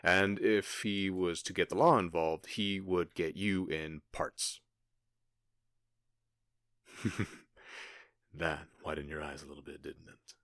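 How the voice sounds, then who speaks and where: A man playing a smug, cruel outlaw gang boss in an ASMR roleplay, taunting with theatrical pauses and mock-polite menace. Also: deep voice, steady tone, fairly bright tone, no echo, studio quality, exaggerated voice. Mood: menacing.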